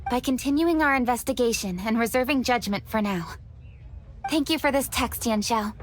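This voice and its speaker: Woman voicing a high-voiced, poised young noblewoman. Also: background noise, natural voice. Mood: composed, formal. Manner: formal, measured wording. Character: poised, formal, proud young noblewoman, reserved and precise. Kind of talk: anime game cutscene voice acting.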